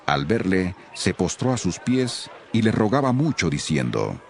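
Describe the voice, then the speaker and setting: Low-voiced, expressive male narrator over music. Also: unhurried, vocal fry. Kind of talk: scripted dramatized scripture narration.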